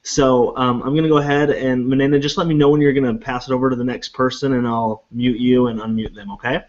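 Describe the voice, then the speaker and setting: A man instructor running an online class, relaxed and filling pauses with ums. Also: mid-range voice. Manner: relaxed, apologetic, lots of fillers. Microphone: video call. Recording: lo-fi online call audio.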